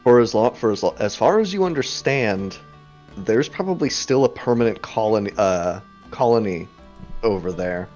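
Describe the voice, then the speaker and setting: A man's voice: lowish voice, lively intonation, moderate pace, darkish tone, highs cut off, faint music behind. A man running a tabletop game as game master, hesitant and full of restarts.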